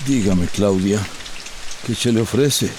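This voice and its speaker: An actor playing a grieving older village founder in a radio drama, mournful, with a Central American Spanish accent. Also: male voice, lowish voice, noisy background. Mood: grieving, wistful. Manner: mournful, drawn-out delivery.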